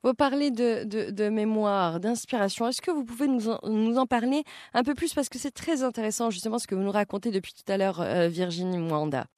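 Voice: mid-range voice, a little fast, few pauses, darkish tone. A radio host with a polished, formal delivery interviews a guest and introduces the next segment. The sound is dry and close to the mic, with no room echo. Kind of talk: interview host and show presenter.

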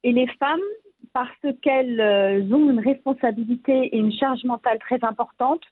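A woman's voice: a little high, normal speed, no room sound. A woman from France of Moroccan Berber family, an author answering interview questions thoughtfully, with frequent hesitations. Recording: tinny phone-like remote line.

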